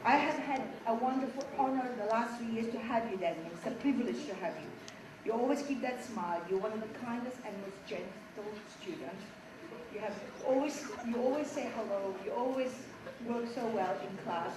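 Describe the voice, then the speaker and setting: Woman teacher, heartfelt praise, slightly high voice, roomy hall, distant mic, background noise. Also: normal speed. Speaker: French teacher. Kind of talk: award speech at a school assembly. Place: school assembly hall.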